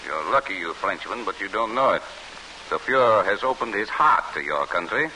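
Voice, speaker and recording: An actor playing a harsh Nazi prison camp guard, curt and commanding, in an old-time radio drama. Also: male voice, lowish voice, animated, moderate pace, bright, forceful tone, low-quality recording. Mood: barking, contemptuous. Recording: hissy vintage radio broadcast.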